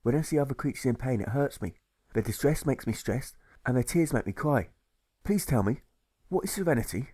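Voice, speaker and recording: A man's voice: medium pitch, expressive, a little fast, warm tone, slightly rough, no room sound. A man voicing an earnest female dog who searches for peace in a storybook fable, declaiming her lines. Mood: earnest, troubled.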